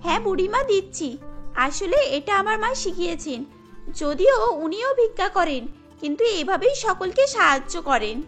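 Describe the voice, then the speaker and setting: Woman doing tiny kind beggar girl for cartoon, really squeaky bright, sweet n earnest. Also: sing-song, measured pace, soft background music, heavily acted. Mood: kind, consoling.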